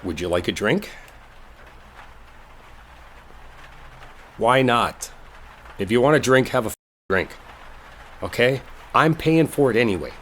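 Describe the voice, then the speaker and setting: A man's voice: medium pitch, animated, normal speed, fairly bright tone, slight background noise, natural voice. A man acting a brash, exasperated young coworker in an intimate roleplay audio, speaking casually with sarcasm and swearing. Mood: impatient, offhand.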